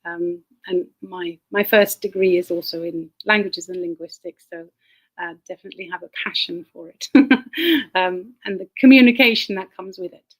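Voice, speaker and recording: A woman who grew up in Germany with German as her first language, a teacher giving a casual talk over a video call, chatty and quick to laugh. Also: on the low side, rough recording. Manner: chatty, laughs often, repeats words while thinking. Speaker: guest speaker, teacher.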